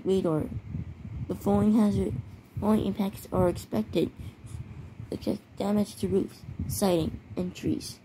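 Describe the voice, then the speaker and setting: A woman with a soft-edged, dark and mellow voice reads out emergency-style announcements. Her delivery is halting and she trips over words. It is a homemade recording with some faint noise behind her. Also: medium pitch, low-quality recording. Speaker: narrator of a homemade emergency alert scenario. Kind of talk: halting read-aloud of mock emergency bulletins and weather warnings.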